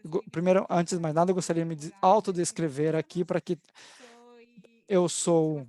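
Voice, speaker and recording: A woman's voice: fairly low pitch, fairly even intonation, moderate pace, mellow. A woman in her forties from Spain, speaking Portuguese with a Spanish accent and summarizing a webinar panel over a video call, hesitant and full of restarts. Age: 40s. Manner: hesitant, with frequent restarts and fillers. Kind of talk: thoughtful spoken summary. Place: home, online event.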